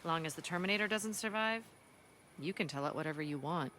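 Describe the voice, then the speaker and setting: An actor playing an earnest, battle-hardened resistance fighter from a ruined future in a video game cutscene, solemn and deliberate. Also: medium pitch, sharp tone, slight background noise, lightly acted. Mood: matter-of-fact.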